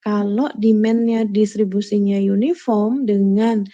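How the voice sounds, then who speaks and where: A woman's voice: mid-range voice, steady tone, mellow. A woman lecturer talking students through a spreadsheet step by step, often checking that they follow. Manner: explanatory, checks understanding with quick questions. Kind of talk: screen-recorded spreadsheet lecture. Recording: dull lo-fi recording.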